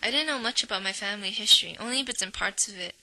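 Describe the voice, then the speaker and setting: Young woman, super bright voice, personal documentary narration, thoughtful. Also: mid-range voice, fast, faint music behind, low-quality recording. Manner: reflective, personal.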